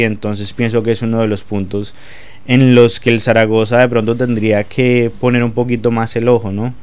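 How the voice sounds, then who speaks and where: A man's voice: medium pitch, clear, resonant tone. A young adult man from Colombia with a Colombian Spanish accent, talking as a sports pundit in a casual panel, hesitant with many fillers.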